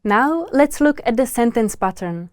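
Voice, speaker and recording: A woman teaching a scripted language lesson, repeating each word slowly with pauses. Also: fairly high pitch, expressive, darkish tone, no echo, clean studio sound. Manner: repeats each word slowly and deliberately, pausing between repetitions.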